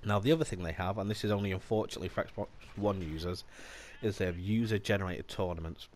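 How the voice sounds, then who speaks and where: A man with a bad cold, slightly nasal, hosting a casual gaming channel update in a chatty, relaxed way. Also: low voice, quick pace, slight background noise. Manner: chatty and relaxed, with small laughs and restarts.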